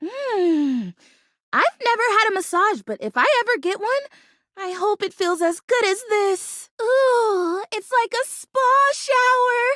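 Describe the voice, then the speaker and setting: A woman's voice: extremely high pitch, lively intonation, slow pace, a bit bright, dry recording, clean studio sound, heavily acted. A woman voicing an anxious, hopeful artificial houseplant in a video game, chatty and earnest with a quirky turn. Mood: pleased. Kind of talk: animated video game voice acting.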